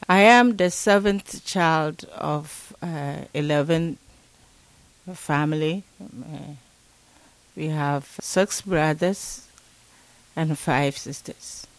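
An older woman from Ghana, an interview guest recalling her childhood slowly and thoughtfully, with many pauses. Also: low voice, poor audio.

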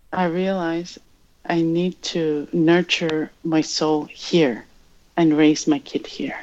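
A woman from Greenland with a Greenlandic accent, speaking English as her third language in a reflective interview, pausing and searching for words. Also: low-pitched, a little mellow, highs cut off. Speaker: interview guest.

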